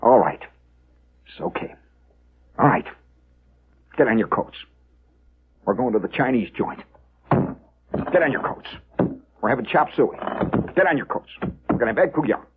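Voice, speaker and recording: A man's voice: mid-range voice, animated, measured pace, pauses often, background noise, lightly acted. A man voicing a fuming, defeated but stubborn father in a radio story, giving clipped, repeated orders. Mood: grimly resolute. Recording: muffled old radio recording.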